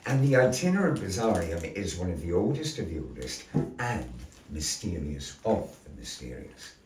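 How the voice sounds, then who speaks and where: A man hosting a live show as storyteller, with grandiose, deliberate phrasing and playful asides to the audience. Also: low-pitched, sing-song, darkish tone, a little hiss or hum. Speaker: storyteller and master of ceremonies. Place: indoor gallery. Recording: roomy echo, voice distant from mic.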